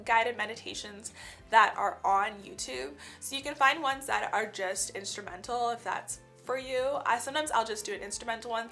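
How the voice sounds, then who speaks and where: Stuffy-voiced young woman, bright tone. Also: a little high. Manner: earnest, chatty, many "like" fillers. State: stuffy from a cold. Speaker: vlogger. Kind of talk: casual reflective vlog talk.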